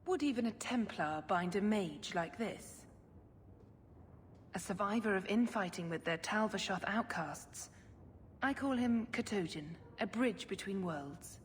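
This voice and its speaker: Secretive middle-aged sister, fantasy game, female actor. Measured, formal, coolly persuasive; mid-pitched, long reverb. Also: lively intonation, lightly acted. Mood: solemn, insistent. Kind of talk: video game voice acting. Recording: long hall reverb, clear background noise.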